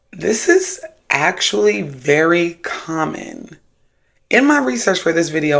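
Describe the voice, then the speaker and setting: A mid-pitched host with a noticeably creaky, fry-laden voice. The delivery is casual and emphatic, with a sassy, slangy edge, suited to spooky storytelling and spiritualist commentary. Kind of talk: casual commentary with read-aloud paranormal stories.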